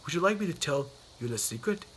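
A man voicing a gentle, worldly old tramp who speaks softly and kindly. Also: a little high, animated, a little hiss or hum, rough recording. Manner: soft, slow and kindly.

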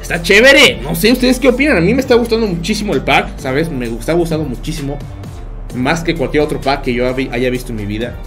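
A man's voice: fairly high pitch, animated, fairly quick, fairly bright tone, faint music behind. A young man with a Mexican accent, livestreaming a game and chatting with viewers, joking and laughing often as he tells stories. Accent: Mexican Spanish. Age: young adult. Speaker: streamer. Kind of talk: live game stream chat. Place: small bedroom.

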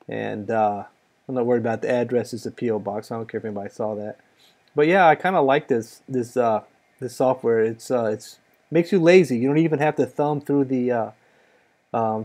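A man's voice: mid-range voice, warm tone. A middle-aged American man giving a casual, laid-back, slightly rambling walkthrough as a radio hobbyist. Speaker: amateur radio hobbyist demonstrating software.

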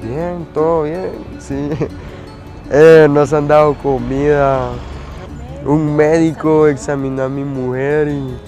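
A man's voice: a little high, fairly even intonation, slow pace, mellow. A man, a migrant who speaks plainly and hesitantly in a documentary interview. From Honduras. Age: 20s. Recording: music underneath.

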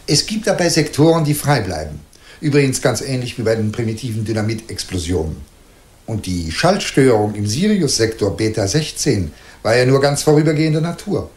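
An actor playing a courteous, probing science professor in a literary radio drama, speaking politely and deliberately. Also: male voice, medium pitch, expressive, darkish tone, close to an everyday voice. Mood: confiding, explanatory. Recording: roomy, echoing sound.

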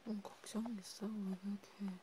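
A woman speaking in a soft, breathy, often whispered murmur, gently and hesitantly, as if chatting one-to-one in an ASMR roleplay. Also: medium pitch, little pitch movement, normal speed, background noise. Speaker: ASMR host.

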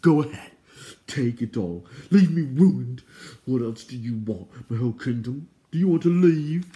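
A man's voice: fairly low pitch, a little slow, dark tone. A man voicing a blustering, indignant king of the fair folk in an audiobook, given to melodramatic, self-pitying outbursts. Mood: melodramatic fury. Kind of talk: audiobook character voice.

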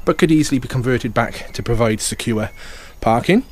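A man's voice: mid-range voice, moderate pace, darkish tone. A man, an estate agent, giving an upbeat walkthrough tour of a house, full of sales patter and repeated "okay" and "fantastic".

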